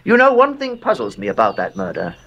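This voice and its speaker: A skeptical heir to a cursed priory in a horror audio drama. His husky voice moves freely up and down as he muses, questions and politely dismisses superstition. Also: lowish voice, huge pitch swings, a little fast, bright, forceful tone, full character voice. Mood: puzzled, probing.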